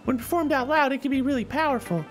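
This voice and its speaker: Woman voicing a high, cheery teenage club girl. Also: fast, close to an everyday voice. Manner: cheery, chatty, gently coaching. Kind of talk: visual novel character dialogue read aloud. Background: soft background music.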